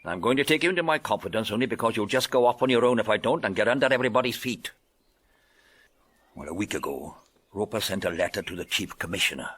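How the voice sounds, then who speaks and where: Forceful, blustering British police detective. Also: male voice, on the low side, expressive, quick pace. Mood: confiding. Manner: gruff, emphatic, swearing. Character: blustering, exasperated Scotland Yard police detective, male. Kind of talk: golden-age detective radio drama acting.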